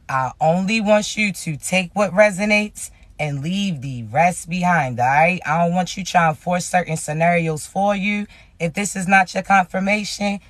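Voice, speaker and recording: High pitched raspy dude, loud, preachy spiritual talk, dry sound. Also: male voice, no echo. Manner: loud, emphatic, slow preacher-like delivery. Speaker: spiritual message reader. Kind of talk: casual spiritual message to viewers.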